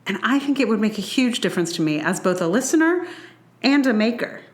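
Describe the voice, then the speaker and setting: A woman podcast host reading her own personal essay aloud with measured, deliberate phrasing. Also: on the high side, very animated, reverberant.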